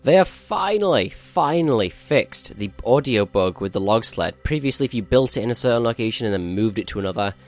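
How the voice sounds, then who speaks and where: A man's voice: medium pitch, expressive, fairly quick, hardly any pauses, soft background music. A male gaming channel host giving a matter-of-fact, explanatory walkthrough of a game update.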